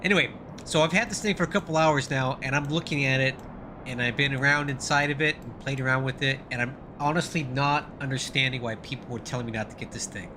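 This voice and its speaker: A man's voice: medium pitch, fairly even intonation, quick pace, noisy background. A middle-aged man with a congested, nasal voice as he gets over the flu, chatting casually on a live stream and thanking viewers as he goes. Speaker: flight sim streamer.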